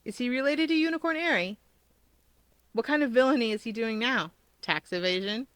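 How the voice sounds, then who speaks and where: A woman's voice: a little high, brisk, fairly bright tone, clean tone, no echo, voice pushed up, lightly acted. A woman voicing a skeptical, breezy teenage schoolboy with a teasing, dismissive way of talking in a fan fiction reading. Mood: amused. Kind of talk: fan fiction audiobook character voice.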